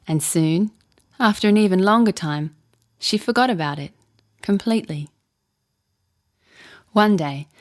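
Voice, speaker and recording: Low-voiced woman telling a bedtime story. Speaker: children's storyteller. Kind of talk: scripted bedtime story narration.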